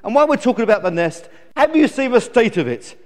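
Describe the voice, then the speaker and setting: In a reverberant hall, a man plays a newborn eagle chick for a children's story, pitched high and full of demands. The little bird whines, scolds and orders everyone about with no gratitude. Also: very animated, fast, darkish tone. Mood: indignant complaining. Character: bossy, ungrateful, whining newborn male eagle chick. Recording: echoey hall with long reverb.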